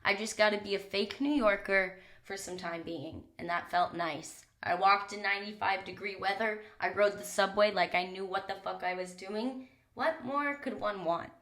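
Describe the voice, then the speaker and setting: A woman's voice: medium pitch, moderate pace, a bit bright. Young woman, podcast host, slightly stuffed-up voice, candid rambling chat, casual swearing, mic in a small closet. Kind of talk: solo podcast monologue.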